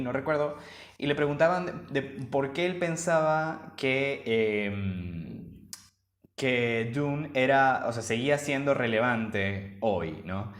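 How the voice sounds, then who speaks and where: A man from Venezuela co-hosting a podcast in casual chat, enthusiastic and rambling, often restarting his sentences. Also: medium pitch. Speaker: podcast co-host. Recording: roomy echo.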